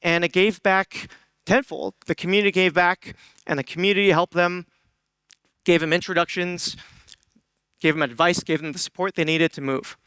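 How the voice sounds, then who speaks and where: A young man from Hong Kong speaks earnestly to a conference audience, often pausing with ums and restarting phrases as he asks the room for raised hands. His voice is bright and crisp, a little high for a man. The sound is close and dry. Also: fairly even intonation, no room sound. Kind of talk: motivational stage talk.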